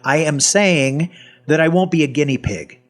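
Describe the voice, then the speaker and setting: A man's voice: on the high side, normal speed, lightly acted. An actor playing a wry, cynical half-zombie man in an audio fiction drama, telling his own story in a sardonic, colloquial manner. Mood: defensive, sardonic. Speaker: voice actor and narrator. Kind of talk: first-person audio fiction narration and dialogue.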